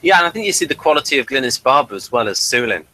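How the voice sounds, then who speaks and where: A man with a bright, forward voice at middling pitch co-hosts a casual review of television. He comments in a measured, appreciative manner. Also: big pitch swings. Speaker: podcast co-host.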